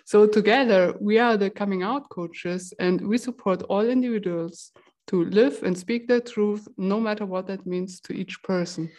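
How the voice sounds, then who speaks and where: A woman's voice: medium pitch, normal speed, a little mellow. A woman from Germany who works as a breathing and nutrition coach introduces herself on a podcast. She sounds upbeat, and her voice sits in a middle range.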